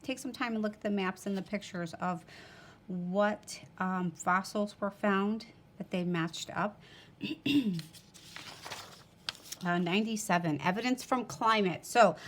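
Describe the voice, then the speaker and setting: A woman's voice: mid-range voice, measured pace, long pauses, slight background noise. A woman teacher reads a textbook aloud to students, breaking off for informal explanatory asides.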